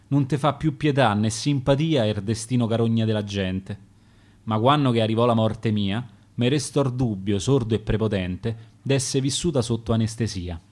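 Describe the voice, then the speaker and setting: A man with a Roman accent reads his own sonnets aloud for a radio broadcast. His pitch sits in an ordinary middle range, and he keeps a measured, rhythmic beat through each rhymed line. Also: a little mellow. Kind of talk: radio poetry recitation. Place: radio studio.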